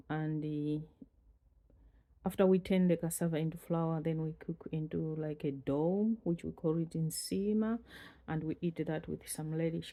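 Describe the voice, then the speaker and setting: A Malawian woman in her forties from the north of the country, telling her own life story in an unhurried way with many pauses and fillers. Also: low-pitched, dark tone. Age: 40s. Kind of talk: reflective personal storytelling.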